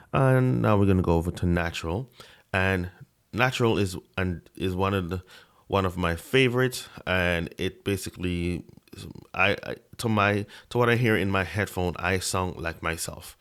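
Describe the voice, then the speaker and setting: Guy with a really deep low voice reviewing a mic at his desk, stutters and restarts a lot. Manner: stutters, repeats and breaks off words. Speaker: tech reviewer. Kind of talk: casual product review. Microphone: desk microphone under review.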